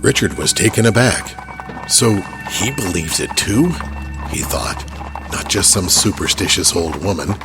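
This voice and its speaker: A man's voice: low-pitched, animated, a little slow, over music. A man narrating a scripted horror audio drama as a storyteller.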